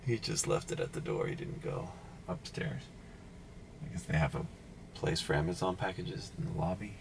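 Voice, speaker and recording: Young rideshare driver, groggy early-morning vlog voice, animated and profane, in a car with faint road noise. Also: male voice, low-pitched, normal speed, gravelly. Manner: swears casually, animated storytelling. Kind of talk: casual driving vlog. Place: inside a car.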